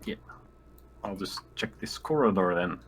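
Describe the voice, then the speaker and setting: A man with a very low voice talks casually as a player in a tabletop game. There is a faint bed of ambient noise behind him. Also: animated, unhurried.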